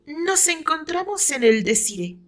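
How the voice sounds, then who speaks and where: A woman's voice: high voice, very animated, unhurried, a bit bright, pitched above the performer's own voice, exaggerated voice. A woman voicing a sly, honey-sweet woman who feigns innocence in a dramatized story, coy and careful. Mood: feigned casual.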